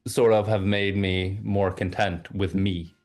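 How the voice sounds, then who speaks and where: Low-pitched Norwegian guy chatting casually. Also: level tone, unhurried, a little mellow, soft background music. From Oslo, Norway. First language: bilingual English and Norwegian from childhood. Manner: informal, hesitant, repeats words while thinking. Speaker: interview guest. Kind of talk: casual conversational chat.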